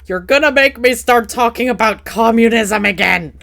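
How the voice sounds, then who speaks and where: A woman's voice: fairly high pitch, normal speed, gravelly, a bit bright, slight background noise. A woman hosting a casual podcast chat in a playful, teasing, rambling way. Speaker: podcast host.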